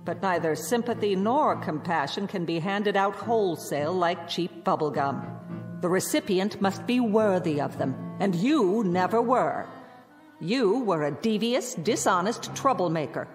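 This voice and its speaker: Strict old-school teacher voiced by a woman, lively cutting delivery, reverberant, faint music. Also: mid-range voice, close to an everyday voice. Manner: crisp, cutting, lecturing. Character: severe strict former schoolteacher woman, stern and scornful. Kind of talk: radio drama acting.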